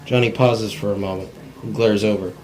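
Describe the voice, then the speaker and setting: A man's voice: lowish voice, fairly even intonation, fairly quick, a little mellow, low-quality recording. A man reading stage directions in an amateur cold table read, plainly and with stumbles over words. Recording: faint background noise.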